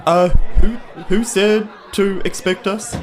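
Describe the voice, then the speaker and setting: A tabletop game player improvising casual role-play, often halting and repeating words. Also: mid-range voice, little pitch movement.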